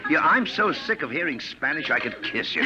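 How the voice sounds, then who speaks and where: An actor playing a brash, jovial American film director, slangy and chummy, in a 1950s radio drama. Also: male voice, on the high side, sing-song, a little fast, music in the background, poor audio. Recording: old radio recording with crowd chatter.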